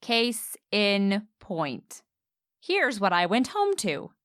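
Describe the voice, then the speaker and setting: A woman's voice: mid-range voice, very animated, drawn-out words, dry recording. A woman acting a driven, brutally honest professional in a romantic comedy radio play, with a dry, impatient manner. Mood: dry, matter-of-fact. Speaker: lead actor and first-person narrator.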